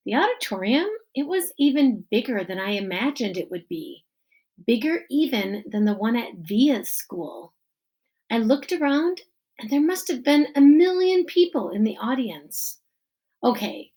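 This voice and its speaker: A woman hosts a children's read-aloud at an unhurried pace. Her voice has a noticeable creak, and she phrases the story deliberately in short segments with pauses. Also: medium pitch, low-quality recording.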